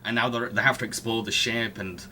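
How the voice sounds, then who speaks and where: A man co-hosting a podcast, casually discussing films in a halting, chuckle-prone way. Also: lowish voice, steady tone, bright, forceful tone, slight background noise. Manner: chuckles through sentences, halting. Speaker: podcast co-host.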